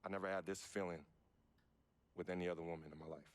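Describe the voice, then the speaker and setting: A man's voice: sits low, level tone, fast talker, no room sound, close to an everyday voice. An American man playing a laid-back, self-assured young basketball star in a video game cutscene. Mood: reflective, candid. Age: young adult. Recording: music behind the voice.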